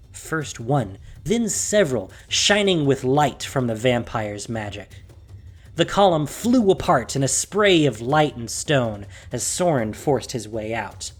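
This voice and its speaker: A woman with a deep voice narrates a dramatic story. Her pitch moves widely for emphasis. The delivery is theatrical and intense, clearly scripted narration.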